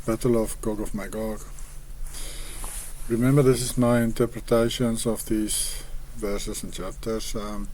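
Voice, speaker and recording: A man with an Afrikaans accent, a native Afrikaans speaker, reading scripture aloud and explaining it in a hesitant, halting way with frequent pauses. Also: on the low side, darkish tone, a little hiss or hum, poor audio. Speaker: online Bible commentator.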